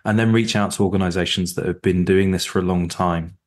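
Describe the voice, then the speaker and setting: A man's voice: low-pitched, steady tone, fairly quick. A man, a cybersecurity executive, speaking as a guest in an interview, emphatic and repetitive, with many fillers and restarts. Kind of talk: sponsored interview.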